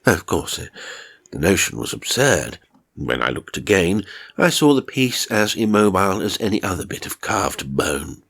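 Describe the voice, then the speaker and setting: A man's voice: deep voice, sing-song, moderate pace, creaky, a bit bright. A man reading a first-person horror story as scripted narration, dramatic and suspenseful in his delivery. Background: music.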